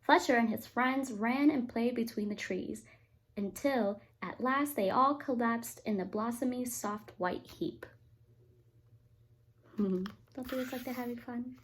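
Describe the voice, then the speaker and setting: A woman teacher reading a picture book aloud to young children, expressive and often turning to talk to them directly. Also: fairly high pitch, measured pace, slight background noise. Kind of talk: read-aloud storytime with asides to children.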